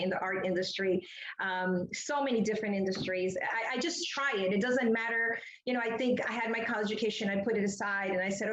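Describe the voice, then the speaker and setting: A middle-aged woman from Ecuador giving a chatty, rambling interview answer as a guest, telling personal stories and often saying "you know". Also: medium pitch. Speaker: interview guest, entrepreneur and public speaker. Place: remote, on a video call. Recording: roomy, muffled video-call audio.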